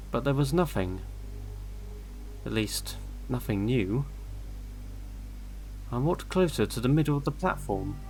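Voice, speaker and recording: Male horror narrator, mid-pitched, unhurried and measured first-person storytelling, music underneath. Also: poor audio. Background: eerie background music.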